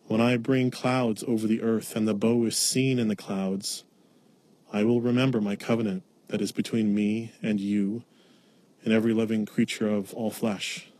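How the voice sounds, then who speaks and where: A man's voice: lowish voice, little pitch movement, moderate pace, no room sound. A man reading scripture aloud outdoors on a forest trail. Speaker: scripture reader. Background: gentle breeze, birds and squirrels.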